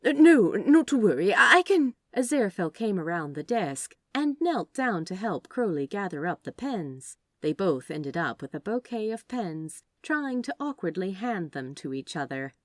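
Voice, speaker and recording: A woman reading a story aloud as narrator, in an expressive scripted style. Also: lowish voice, normal speed, hardly any pauses, no room sound, clean studio sound. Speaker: fan fiction narrator.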